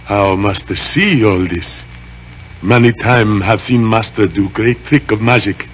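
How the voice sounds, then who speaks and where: An actor playing a giant, loyal and solemn manservant who speaks halting, deferential broken English in old-time radio style. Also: male voice, fairly low pitch, a little hiss or hum, natural voice. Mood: awed. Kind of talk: 1940s radio acting.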